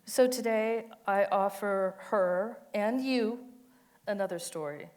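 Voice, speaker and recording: A woman's voice: mid-range voice, takes it slowly, darkish tone. A woman giving a dramatic reading of an autobiographical monologue, with a tender, emphatic storytelling delivery. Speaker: co-reader of a monologue.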